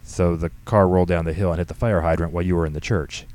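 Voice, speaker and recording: A man's voice: low-pitched, steady tone, fast talker, a little mellow, close to an everyday voice. A man voicing a weary, exasperated middle-aged father, stern and long-suffering, in an audiobook story. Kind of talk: audiobook character dialogue.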